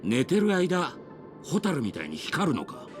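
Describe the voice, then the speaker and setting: An actor playing a gruff, easygoing fishing lover in a video game, with rough, folksy, teasing speech. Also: male voice, a little high, wildly swooping pitch, fast, music in the background. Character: gruff, easygoing man who loves fishing. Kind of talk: Japanese video game voice acting.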